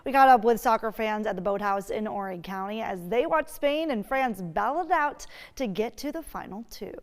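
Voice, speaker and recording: A woman anchoring a local evening newscast with bright, upbeat scripted delivery. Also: on the high side, normal speed, long reverb tail. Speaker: news anchor.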